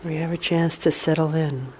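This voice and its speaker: A woman meditation teacher leading a guided meditation in a hushed, soothing manner, with long pauses between short phrases. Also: low voice, fairly even intonation, poor audio. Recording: faint background noise.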